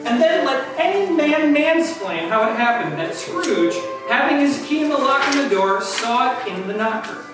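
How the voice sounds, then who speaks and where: A woman's voice: high-pitched, lively intonation, normal speed, few pauses. A woman narrating a comic dramatic reading in a theatrical way with wry asides, heard from a distance in an echoey room. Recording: echoey room, distant mic, music behind.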